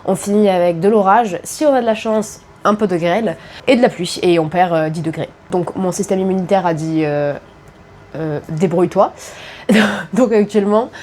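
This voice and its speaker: A woman's voice: lowish voice, normal speed, darkish tone, a little hiss or hum. A young woman with a cold, chatting casually to camera about her reading, with self-deprecating asides. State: congested with a cold. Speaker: book vlogger. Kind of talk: casual vlog chat.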